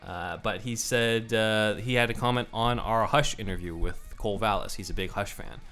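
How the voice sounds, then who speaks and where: Laid-back man, lowish voice. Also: moderate pace, a bit bright, faint music behind. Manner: laid-back, full of "uh" fillers. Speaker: podcast co-host. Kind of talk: casual chat.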